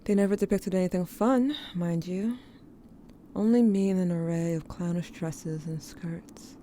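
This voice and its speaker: Woman doing a haunted grieving diarist, slow and mellow, brooding audio drama narration, bit of ambience behind. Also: lowish voice, fairly even intonation, a little hiss or hum, close to an everyday voice. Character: haunted, grieving diarist recounting dreams to a lost sibling. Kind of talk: scripted first-person audio drama narration.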